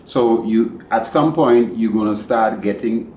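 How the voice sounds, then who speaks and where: A man hosting a podcast, interviewing a guest in a casual, rambling and animated way. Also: fairly low pitch, measured pace. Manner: animated, rambling, laughs easily. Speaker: podcast host. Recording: echoey room with background noise.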